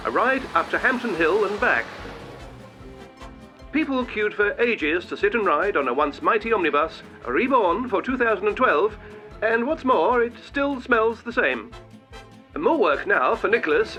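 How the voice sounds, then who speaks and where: A man's voice: sits high, bright, forceful tone, heavily acted. A man playing a jaunty, pompous old-time newsreel announcer with flowery, tongue-in-cheek narration.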